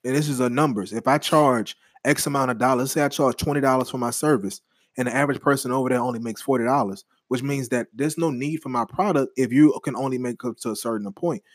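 A young man co-hosting a podcast in casual chat, animated and swearing freely as he rambles through his points. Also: medium pitch, fast talker, dry recording, clean studio sound. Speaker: podcast co-host.

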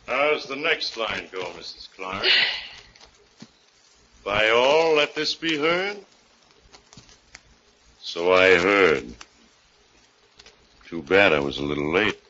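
A wry, folksy inspector from a 1940s radio mystery. He drawls slowly and deadpan in a low, forceful voice. The recording sounds old and dull. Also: exaggerated voice. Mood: wry, knowing. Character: folksy, unhurried male police inspector, wryly knowing.

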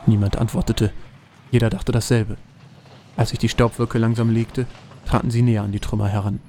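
A man's voice: on the low side, little pitch movement, a little fast, warm tone, a little hiss or hum. A man narrating a scripted fantasy audio drama as its storyteller. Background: music.

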